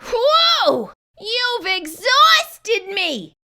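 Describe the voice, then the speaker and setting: A short-tempered, exasperated storybook character, acted out by a woman, scolds in dramatic bursts. The voice is very high and bright, and it leaps around with lively exclamations. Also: extremely slow, exaggerated voice. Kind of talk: animated children's storybook read-aloud.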